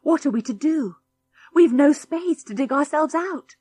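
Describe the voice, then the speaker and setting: A woman's voice: high-pitched, lively intonation, unhurried, mellow, no room sound, pitched above the performer's own voice. A British woman voicing an earnest, responsible schoolboy who leads a gang of children, grave, anxious and well-spoken, in a storybook reading. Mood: dismayed.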